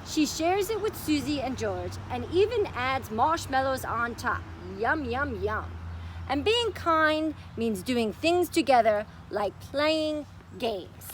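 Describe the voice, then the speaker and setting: A woman's voice: high voice, fairly bright tone, slight background noise. A woman presenting a children's story time, reading aloud and giving simple, encouraging explanations. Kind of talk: children's storytime read-aloud and craft instruction.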